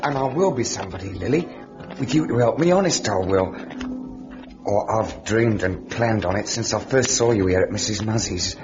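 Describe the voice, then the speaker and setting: An actor playing an earnest, hesitant young suitor who turns desperate, in old-time radio drama style. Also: male voice, fairly low pitch, lively intonation, moderate pace, dull audio, over music, close to an everyday voice. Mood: earnest, pleading. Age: young adult. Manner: hesitant, stammering, pleading.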